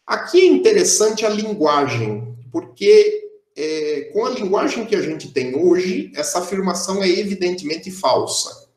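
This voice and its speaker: A young man gives a math lecture over a video call. He explains steadily and fills his pauses with "é". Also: high-pitched, reverberant. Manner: explanatory, steady, with frequent "é" fillers. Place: indoor room, on a video call.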